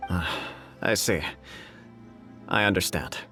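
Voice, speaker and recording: A man voicing a formal, gracious shogunate official in a video game, courteous and measured. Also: sits low, pushed and bright, heavily acted. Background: background music.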